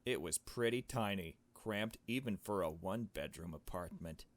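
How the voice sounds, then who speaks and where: Low-voiced man reading a game story aloud. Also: lively intonation, moderate pace, dry recording. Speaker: let's player. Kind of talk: reading a visual novel aloud with casual asides.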